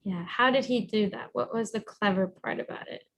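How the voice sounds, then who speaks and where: A woman reading a storybook aloud as a teacher, encouraging and pausing to guide with questions. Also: medium pitch, creaky, a bit bright. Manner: encouraging, instructive.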